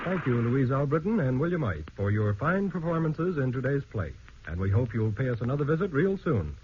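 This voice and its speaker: A man's voice: medium pitch, big pitch swings, a little fast, background noise. A man hosting an old radio playhouse, announcing in formal 1940s broadcast style. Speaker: radio show host. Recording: tinny old radio recording with music. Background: music.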